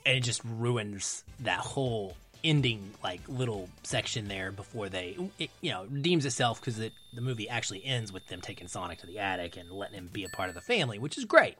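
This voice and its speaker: A man's voice: on the low side, lively intonation, fairly quick, few pauses, sharp tone, faint music behind. A man giving emphatic, opinionated film commentary in a casual podcast conversation.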